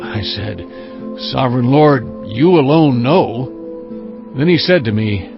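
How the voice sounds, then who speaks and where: An actor playing a reverent prophet, recounting visions in solemn, earnest, dramatic scripture narration. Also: male voice, mid-range voice, lively intonation, takes it slowly, creaky. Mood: awed, reverent. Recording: dull audio under prominent music. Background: music.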